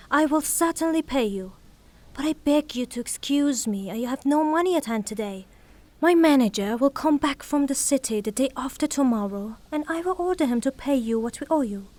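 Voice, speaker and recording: A woman performs a melodramatic, well-to-do young widow in a stage-style audio play. Her voice is very high, and her delivery is formal and proud, with a haughty edge. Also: darkish tone. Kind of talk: theatrical audio drama acting.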